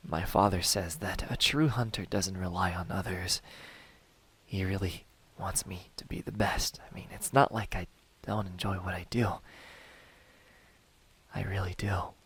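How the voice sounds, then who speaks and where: A smug, menacing demon hunter, voiced by a man in an ASMR roleplay. His voice is low and husky, often sinking toward a whisper. He taunts his prey slowly and deliberately, taking his time over each phrase. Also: fairly even intonation, a bit bright, exaggerated voice. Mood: brooding, musing. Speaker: amateur voice actor.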